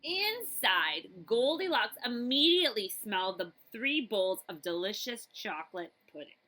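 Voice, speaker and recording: A woman librarian reading a picture book aloud to children expressively, with chatty asides and questions to her listeners. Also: medium pitch. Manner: animated, chatty, pausing to ask listeners questions.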